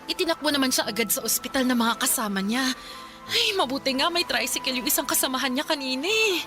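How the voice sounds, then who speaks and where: A woman's voice: high-pitched, lively intonation, fast talker, music in the background. An anxious fisherman's wife. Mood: worried. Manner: anxious, tearful. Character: worried poor fisherman's wife, mother of six. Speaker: mother. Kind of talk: radio drama acting.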